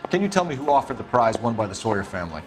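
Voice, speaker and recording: A man acts out a stern police detective in a game cutscene. His mid-pitched voice moves briskly up and down as he fires clipped, businesslike questions, with music playing underneath. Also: sing-song, close to an everyday voice. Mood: probing, pointed. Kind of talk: video game cutscene acting. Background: background music.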